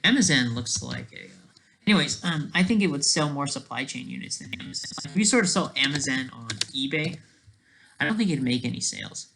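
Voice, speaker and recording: A person giving rambling off-the-cuff commentary in a halting, sarcastic way, restarting mid-thought and often checking with a questioning tag. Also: mid-range voice, expressive, fairly bright tone, a little hiss or hum.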